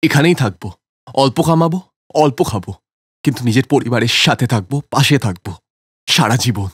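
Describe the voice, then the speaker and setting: An aggrieved grown son, dry close sound. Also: male voice, mid-range voice, normal speed, fairly bright tone, breathy edge, no room sound. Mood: bitter, accusing. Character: bitter grown son rebuking his absent, money-minded father. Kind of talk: dramatic cartoon voice acting.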